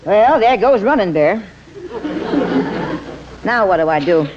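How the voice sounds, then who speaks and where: An actress playing a plain-spoken, exasperated but warm-hearted American small-town woman in an old-time radio comedy. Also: medium pitch, lively intonation, slow, background noise. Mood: indignant, exasperated. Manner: plain-spoken, folksy, dramatic. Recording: dull vintage radio recording.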